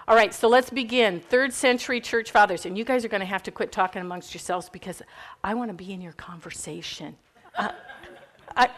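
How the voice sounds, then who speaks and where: A woman with a Minnesota accent teaching church history to a class in a chatty, informal way, full of fillers and asides. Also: medium pitch. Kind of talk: classroom lecture. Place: classroom with a live group.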